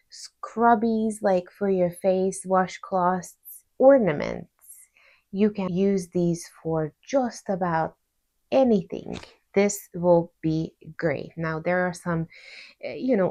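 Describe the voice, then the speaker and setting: A woman's voice: lowish voice, a little mellow. A woman with a Hungarian accent, hosting a crochet tutorial in a chatty, friendly way with playful asides. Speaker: crochet teacher, channel host. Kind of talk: casual tutorial explanation.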